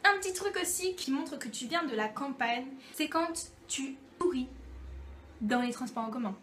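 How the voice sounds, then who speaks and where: A young French woman vlogging in a chatty, joking way, often slipping into little acted-out exchanges. Her voice sits high. A faint background noise runs under the recording. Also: animated, moderate pace, a bit bright. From France. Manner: chatty, playful, acts out little dialogues. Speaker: YouTube vlogger. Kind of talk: casual comic monologue to camera.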